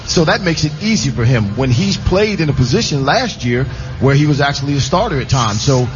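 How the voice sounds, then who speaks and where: A man on a sports radio show explaining football plays in a steady, repetitive way. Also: mid-range voice, brisk, faint music behind. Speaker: football analyst. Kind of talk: casual sports radio chat. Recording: dull lo-fi broadcast with faint hiss.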